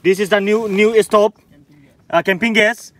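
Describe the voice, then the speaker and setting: A man's voice: high voice, steady tone, unhurried, fairly bright tone. A young man with a Northeast Indian accent hosting a casual outdoor vlog in a playful, teasing, repetitive way. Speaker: vlog host. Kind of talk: casual joking chat. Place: open grassy campsite outdoors. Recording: outdoor handheld recording with ambient noise.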